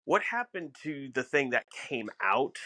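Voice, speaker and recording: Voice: medium pitch, sing-song, measured pace, sharp tone. A podcast co-host in casual fan discussion, musing and posing questions aloud.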